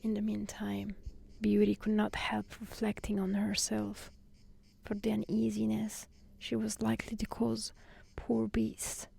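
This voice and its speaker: A woman reading a fairy tale as soft-spoken ASMR narration, gentle and hushed, often close to a whisper. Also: medium pitch, completely flat delivery, measured pace, creaky, slightly rough. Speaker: storyteller.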